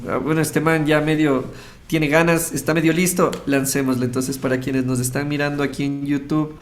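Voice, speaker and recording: A man with an Ecuadorian accent, casually telling a personal story as an interview guest, acting out bits of dialogue as he goes. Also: fairly high pitch. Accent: Ecuadorian Spanish. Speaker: radio host interviewed as guest.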